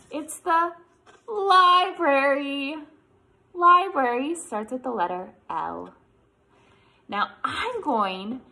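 An American woman in her thirties leading a children's storytime, with an exaggerated, encouraging way of talking to young kids. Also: a little high. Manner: animated, encouraging, exaggerated child-directed delivery with questions. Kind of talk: preschool lesson and picture-book read-aloud.

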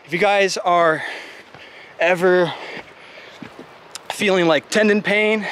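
Young man, out-of-breath hiking vlogger, chatty and joking, slightly high-pitched, handheld camera outdoors with clear background noise. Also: fairly even intonation, takes it slowly, a bit bright. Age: young adult. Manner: chatty, joking, rambling with fillers. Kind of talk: casual vlog while hiking. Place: wooded trail outdoors. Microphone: handheld camera at arm's length.

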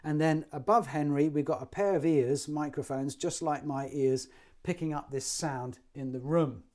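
A man's voice: fairly high pitch, moderate pace, a little mellow. A man in his sixties presenting an informal demonstration, speaking directly to the viewer like a showman into a lapel mic. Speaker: presenter, technical director of a loudspeaker company. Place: large attic listening room indoors. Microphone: lavalier.

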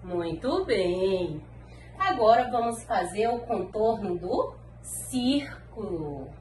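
A Brazilian woman in her thirties, a preschool teacher speaking slowly and encouragingly to small children in an online lesson. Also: mid-range voice, sing-song, darkish tone. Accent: Brazilian Portuguese. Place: classroom wall with posters, indoors. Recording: echoey room, faint background noise.

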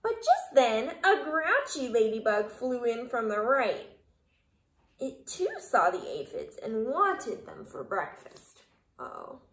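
A young woman reading a children's story aloud expressively, pausing to put questions to her young listeners. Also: on the high side. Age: young adult. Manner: animated, questioning, addressing children. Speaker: kindergarten read-aloud reader. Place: small living room, indoors. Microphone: camera a short distance away. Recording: roomy home recording.

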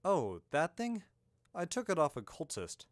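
Male reader as young adventurer wizard, earnest, easily indignant, matter-of-fact, very animated pitch, dry storybook recording. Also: normal speed, dry recording, close to an everyday voice. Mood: bland.

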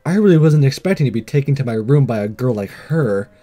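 A man reads a visual novel aloud as a let's play host. His delivery is lively and casual, slipping in commentary, with game music behind him. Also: medium pitch, fast talker.

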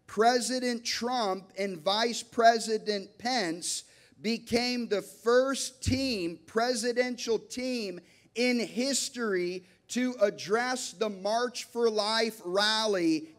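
A man in his thirties preaching a sermon on stage into a handheld mic, emphatic and deliberate, with dramatic pauses. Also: high voice. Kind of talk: sermon to a church congregation. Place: large dark church auditorium, on stage before an audience. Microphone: handheld microphone.

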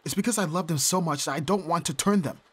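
A man playing an anguished, devoted young vampire in an audio roleplay, pleading haltingly in a breathy voice. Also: a little high, a bit bright. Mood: distraught. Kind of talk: ASMR audio roleplay acting.

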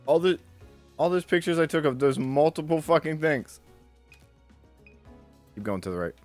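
A man's voice: fairly high pitch, lively intonation, normal speed. A man in his thirties chatting casually through a video game with a co-host, swearing and bantering with mock boasts. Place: indoor streaming setup. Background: game music.

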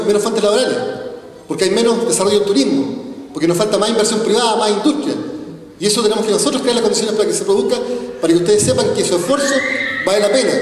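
A man in his forties, a mayor giving an earnest, rhetorical speech from a podium, often adding tag questions. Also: high-pitched. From Chile. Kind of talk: formal public speech. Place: large hall, on stage at a podium. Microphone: podium microphone, recorded from a far camera. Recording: echoey hall from far off.

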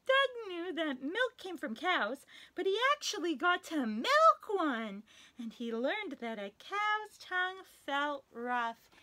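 A young woman as a children's librarian reading a picture book aloud at story time, animated and sing-song, with playful animal noises. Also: extremely high pitch, slow pace. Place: small indoor room, wood-panelled.

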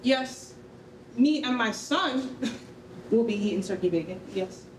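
A woman's voice: a little high, lively intonation, takes it slowly. An actress playing a curt older sister in her thirties, a working mother, in a staged storybook reading. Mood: dismissive. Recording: echoey hall, distant mic, faint noise.

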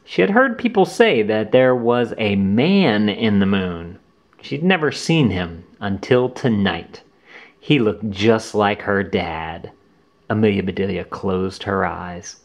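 A man reading a children's storybook aloud as host, in an animated, deliberate way for young listeners. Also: on the low side, muffled recording. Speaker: children's book reader and channel host.

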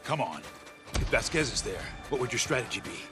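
An actor playing a stern, disciplined militia officer in a video game, speaking in a firm, businesslike way. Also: male voice, medium pitch, very animated, a little fast, music in the background, lightly acted. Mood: guarded, matter-of-fact. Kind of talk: naturalistic video game acting.

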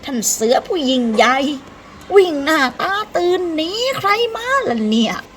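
A woman's voice: high voice, lively intonation, normal speed, slight background noise, voice pushed up. A woman voicing a sly, cocky fox in a children's folktale, talking in a teasing, persuasive way. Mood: mocking curiosity.

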